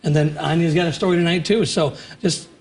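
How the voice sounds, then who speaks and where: Higher-voiced man, relaxed sports director. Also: fairly quick. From South Dakota. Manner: relaxed, rambling with restarts. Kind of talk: casual live studio interview.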